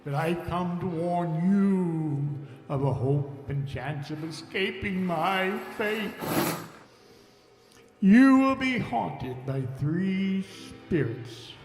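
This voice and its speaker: A man playing a tormented, mournful ghost of a dead miser in a stage radio drama, ominous and declamatory, with drawn-out wails. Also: sits high, animated, a little mellow, full character voice. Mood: grave warning. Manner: slow, declamatory, wailing, drawn-out delivery. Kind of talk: radio-style stage audio drama acting. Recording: reverberant echoing voice over faint music.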